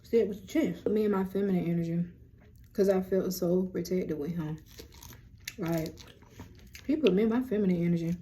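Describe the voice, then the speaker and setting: A young woman with a deep voice, hosting a rambling, casual lunchtime chat on her vlog. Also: fairly quick, pauses often, mellow, a little hiss or hum. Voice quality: low, deep voice. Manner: chatty, rambling, self-described yapper. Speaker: vlog host.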